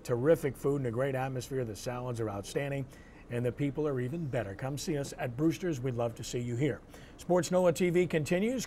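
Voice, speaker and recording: A man's voice: fairly high pitch, darkish tone. A man hosting a sports show, giving commentary and results in clipped, headline-style phrases.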